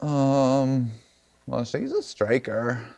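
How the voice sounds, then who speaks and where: A man hosting a live music stream in a loose, joking way. He chats offhand and slips into bursts of nonsense scat singing. His voice sits at an everyday middle pitch. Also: sing-song, very slow, creaky, warm tone. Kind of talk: casual chat with scat singing.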